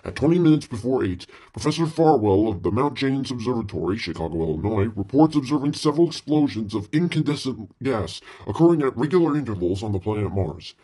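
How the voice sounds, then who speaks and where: A man playing a formal 1930s radio news announcer in a radio play, reading bulletins in a husky voice. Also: medium pitch, expressive, a little fast, few pauses, mellow. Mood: urgent, formal.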